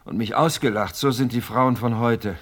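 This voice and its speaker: An actor in a radio play as a scheming businessman who wheedles self-importantly and is quick to lose patience. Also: mid-range voice, close to an everyday voice. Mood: scheming, indignant. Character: scheming, hot-headed businessman haggling with a ghost.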